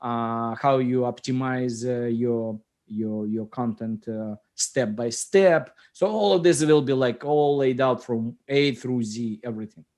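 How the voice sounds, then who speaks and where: A man's voice: medium pitch, measured pace, few pauses, darkish tone. A middle-aged man with a Russian accent, hosting a casual chat about his app and often searching for words. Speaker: app founder and host. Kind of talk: casual video call chat.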